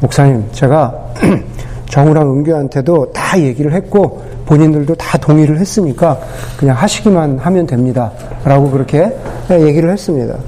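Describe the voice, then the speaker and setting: A man preaching a sermon as pastor, his voice hoarse from a cold, talking unhurriedly with many pauses and fillers. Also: a little high, mellow.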